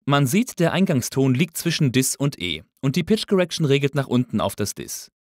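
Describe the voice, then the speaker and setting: A man narrating a scripted tutorial as an instructor, explaining things clearly and methodically. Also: mid-range voice, very animated, normal speed, dry recording, studio quality. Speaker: audio engineering instructor.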